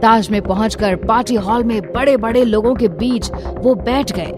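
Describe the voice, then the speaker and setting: High-pitched woman narrating a drama. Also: moderate pace. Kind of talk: scripted dramatic story narration. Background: background music.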